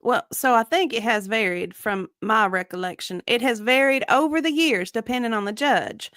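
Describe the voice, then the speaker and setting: A woman's voice: on the high side, normal speed, fairly bright tone, dry recording, studio quality, lightly acted. A woman reading the part of a guarded, evasive witness in a dramatic deposition reading, with careful, hedging answers. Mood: evasive, earnest. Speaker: dramatic reader.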